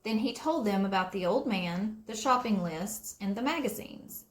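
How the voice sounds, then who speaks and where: A woman narrates a children's story with deliberate, expressive phrasing. Her pitch moves in lively swings, and the sound is roomy and echoing. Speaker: storybook reader. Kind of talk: read-aloud narration for children.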